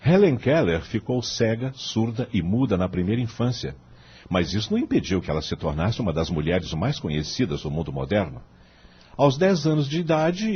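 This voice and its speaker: Man acting as deep-voiced, animated Brazilian judge. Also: a little hiss or hum. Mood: persuasive, instructive. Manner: formal, rhetorical, emphatic, didactic. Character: eloquent, principled older male judge and mentor. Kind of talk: Brazilian audio drama with oratorical speeches. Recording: muffled low-fidelity audio with music behind.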